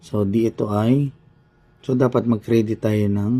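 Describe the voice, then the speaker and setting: A man's voice: on the low side, fairly even intonation, a little slow, warm tone. A man with a Filipino accent teaching an informal accounting lesson, thinking aloud step by step and repeating himself as he works through an example.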